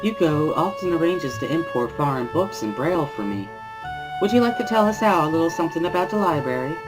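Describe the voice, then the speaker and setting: A performer voicing a composed, caring schoolgirl in a dramatic reading, with gentle and courteous manners. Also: mid-range voice, animated, brisk, over music, pitched above the performer's own voice, lightly acted, poor audio.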